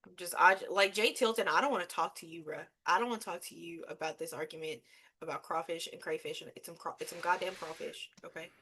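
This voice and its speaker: A woman from Louisiana with a Louisiana accent, chatting casually as co-host, animated and laughing often, with plenty of swearing. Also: on the low side, quick pace, slightly rough. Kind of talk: casual chat.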